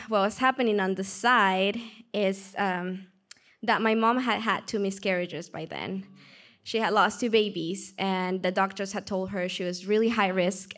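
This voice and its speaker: A young woman from Peru with a Peruvian Spanish accent, telling her faith story in a lively way. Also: mid-range voice. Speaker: testimony speaker. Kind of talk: personal testimony.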